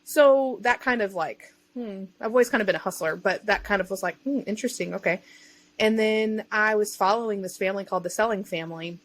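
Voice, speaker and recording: A woman's voice: mid-range voice, a little fast, low-quality recording. Female podcast guest, mid-range voice, informal and chatty, casual storyteller who interjects as she goes. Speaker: self-employed digital marketer and online bookseller.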